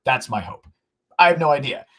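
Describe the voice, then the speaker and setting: A man with a hoarse voice co-hosting a sports podcast, talking fast and emphatically and repeating himself to hammer his points home. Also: mid-range voice, lively intonation, fairly bright tone. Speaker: podcast co-host.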